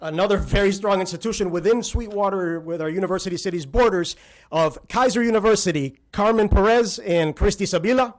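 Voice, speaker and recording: A man emceeing a civic ceremony, briskly reading out guests' names with frequent filler "uh"s. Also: high-pitched. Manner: brisk name listing with filler "uh"s. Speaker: master of ceremonies.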